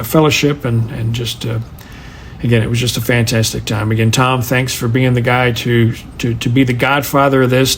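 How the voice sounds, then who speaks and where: A man leaving a casual, rambling voice message of personal recollections, halting and repeating words as he goes. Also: mid-range voice, slight background noise. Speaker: fan contributing a voice message.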